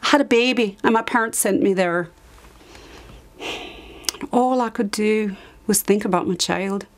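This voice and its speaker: A woman acting out a dramatized monologue as an anguished asylum survivor recalling her past, halting, with emphatic repetitions. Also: mid-range voice, natural voice. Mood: desperate, resolute. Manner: halting, emphatic repetition, sudden drops to whisper. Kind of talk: dramatized monologue, confessional testimony.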